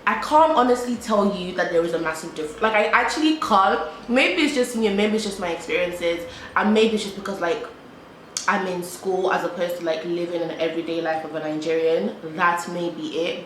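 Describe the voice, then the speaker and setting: A woman's voice: on the low side, fairly bright tone. Young British woman, casual vlogger, roomy echo, faint hum behind. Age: young adult. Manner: chatty, repeats herself, frequent "like". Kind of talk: casual chat to camera while eating. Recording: echoey room with faint background hum.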